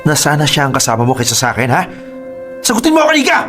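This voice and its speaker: Hurt, accusing young fiancé for Filipino radio drama; wildly animated pitch, confrontational, music underneath. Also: male voice, very fast. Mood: angry, accusing. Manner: confrontational, peppers talk with sharp questions.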